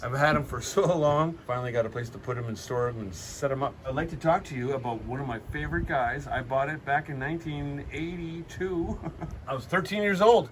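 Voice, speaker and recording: A man in his fifties from New Zealand, a band drummer, telling music trivia in a relaxed, rambling way with frequent filler pauses. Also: a little high, slight background noise. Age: 50s. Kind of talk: casual storytelling chat.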